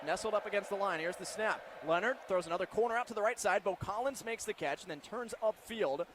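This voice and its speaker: A man's voice: sits high, fast talker. A man doing live radio play-by-play of American football, narrating the action in clipped present-tense phrases. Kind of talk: live play-by-play sports commentary. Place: football stadium broadcast position. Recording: faint stadium background noise.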